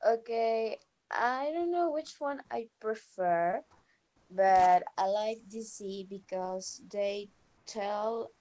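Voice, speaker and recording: A woman's voice: mid-range voice, a little mellow, low-quality recording. A woman of twenty, a student, taking part in a video-call discussion and hedging her answers a lot. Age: 20s. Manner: hesitant, often unsure. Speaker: student participant. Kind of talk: casual group discussion.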